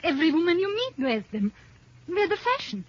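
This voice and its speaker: A defensive female suspect in an old radio drama. The voice is extremely high and emphatic, full of indignation. The sound is dry and muffled. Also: very animated, a little fast, dry recording. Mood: indignant. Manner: emphatic, defensive, stiffly worded.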